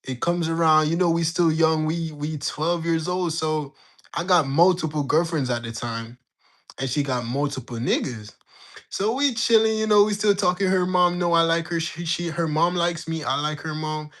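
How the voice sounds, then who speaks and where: A young man in his late teens from Jamaica, telling a story in a slangy, animated way while bantering with his livestream chat. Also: on the high side, normal speed. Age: young adult. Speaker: livestream host. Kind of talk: casual storytelling to live chat.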